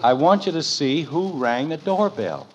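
A man's voice: fairly high pitch, lively intonation, normal speed, breathy edge, dull audio. An actor playing an exasperated, sarcastic middle-aged family father in a mid-century radio comedy, grumbling drily. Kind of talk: 1940s-50s radio sitcom acting. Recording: old radio recording with noise.

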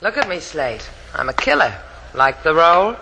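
A tough young heroine from vintage radio drama. Her low voice is animated and bright, with long reverb around it. She is wry and dramatic. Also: moderate pace, gravelly, husky, exaggerated voice. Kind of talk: 1940s-50s radio adventure acting.

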